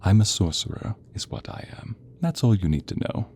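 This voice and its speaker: A man voicing a sadistic, theatrical sorcerer villain in an audio roleplay, silky and menacing, drawing out words and slipping into breathy whispers. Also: lowish voice, sing-song, normal speed, heavy background noise. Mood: smug, menacing. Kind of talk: audio roleplay acting addressed to the listener.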